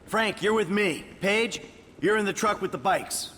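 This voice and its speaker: An actor playing a brisk, commanding team leader in a game cutscene. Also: male voice, sits high, sharp tone, long reverb tail, a little hiss or hum.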